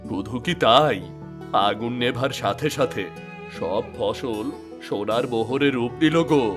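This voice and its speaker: A man voicing an earnest male village farmer in an animated folk tale. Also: medium pitch, unhurried, over music, natural voice.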